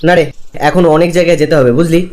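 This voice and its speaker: Man playing young office pal, raised pitch, friendly, insistent, chatty, cartoon dialogue. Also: fairly even intonation, quick pace, darkish tone, lightly acted. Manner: chatty, pressing.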